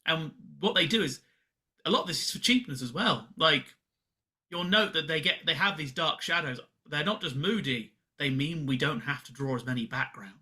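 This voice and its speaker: A man's voice: a little high, moderate pace, sharp tone. A man co-hosting a podcast, giving casual explanatory commentary and speaking directly to listeners. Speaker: podcast co-host.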